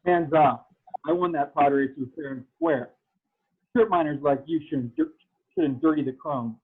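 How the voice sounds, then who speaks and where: Through thin, phone-like call audio comes a scornful racing gang boss, played by a man. His voice is a bit husky and taunting, talking down to everyone as part of a fan-fiction table read. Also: on the high side, moderate pace. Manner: condescending, taunting. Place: remote video call.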